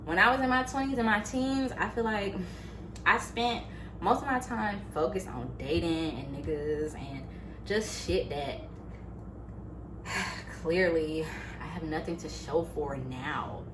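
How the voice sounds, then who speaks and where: A woman in her late twenties talking to her YouTube viewers in a casual, animated, chatty way, full of fillers. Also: on the low side, a little slow, noisy background. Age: late 20s. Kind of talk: casual motivational vlog. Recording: echoey room, faint ambience.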